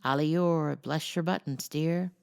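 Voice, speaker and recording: A woman voicing a cheeky, bossy old male parrot with a croaking squawk in a storybook reading. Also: sits low, steady tone, slow pace, darkish tone, dry recording, studio quality, exaggerated voice. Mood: indignant, cheeky.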